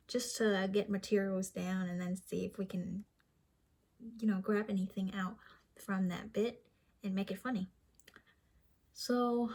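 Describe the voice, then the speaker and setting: A young woman with a light Vietnamese accent talks to the camera in an easy, rambling way and often restarts her sentences. Her voice is slightly breathy and husky, at an ordinary middle pitch. She sounds self-deprecating and casual. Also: a little mellow. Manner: chatty, self-deprecating, with frequent restarts and small laughs. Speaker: vlogger.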